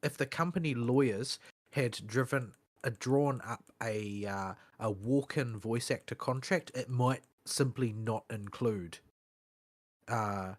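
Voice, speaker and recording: Kiwi guy with a lisp, casual podcast chat, keeps stopping and restarting. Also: mid-range voice. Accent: New Zealand. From New Zealand. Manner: hesitant, halting with many restarts. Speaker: podcast co-host.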